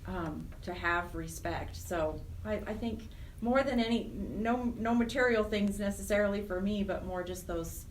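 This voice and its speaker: A woman's voice: mid-range voice, normal speed, hardly any pauses. A middle-aged woman speaking conversationally as an interview guest, earnest and often searching for her words. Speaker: interview guest, program director of a nonprofit. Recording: roomy, distant mic, faint noise.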